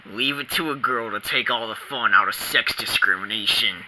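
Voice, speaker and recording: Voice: mid-range voice, moderate pace, bright, forceful tone, a little hiss or hum, rough recording. A performer voicing a laid-back, sardonic male stuffed tiger with a dry, teasing manner.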